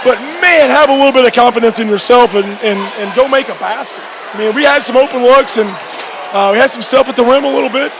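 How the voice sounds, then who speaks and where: A man, a high school basketball coach, giving a postgame radio interview with repeated phrases and halting restarts. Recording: noisy gym with loud crowd behind. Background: crowd and clear background noise.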